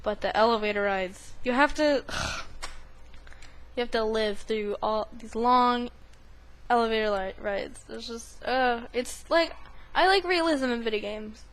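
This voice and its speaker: A woman's voice: a little high, a bit bright. A woman giving casual, silly commentary while playing a video game, with sing-song asides. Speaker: gaming video host. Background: video game sound.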